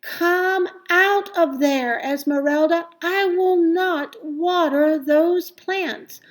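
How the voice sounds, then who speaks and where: Woman playing a stubborn old lady, raised pitch. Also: drawn-out words, heavily acted. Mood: disgusted, defiant. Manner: emphatic, insistent, exclaiming.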